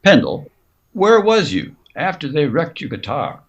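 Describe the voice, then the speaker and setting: A folksy saloon keeper from a radio Western, with a pitch that swings widely. He is protective and speaks in rustic phrases. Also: very animated, measured pace, muffled recording. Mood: guarded, insistent. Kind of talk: old-time Western radio acting.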